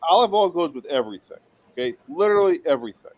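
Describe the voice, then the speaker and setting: A man's voice: on the high side, sing-song, rapid-fire, muffled recording. A man on a live podcast call, insisting on his point with emphatic casual talk. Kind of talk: casual live-stream chat.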